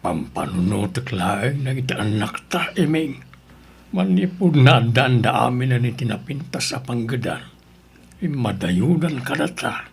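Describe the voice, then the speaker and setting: An actor plays a weary old farmer in a radio drama. His voice is creaky and hoarse-edged, and he comes across as stubborn yet devoted to his children. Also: medium pitch, lively intonation, unhurried, heavily acted. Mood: wistful. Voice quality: gravelly, raspy.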